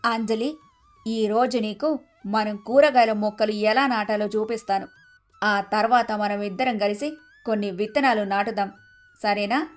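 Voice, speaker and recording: A woman playing a middle-aged village mother-in-law in a moral story, gentle, nurturing and instructive. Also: medium pitch, fairly even intonation, unhurried, faint music behind, close to an everyday voice. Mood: gently instructive. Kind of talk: scripted storybook acting.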